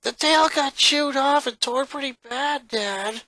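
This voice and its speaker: A man's voice: very high voice, slow, bright, forceful tone, no room sound, voice pushed up, heavily acted. A man voicing a feisty, protective young tomboy sister in a read-aloud story, brash and slangy. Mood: matter-of-fact.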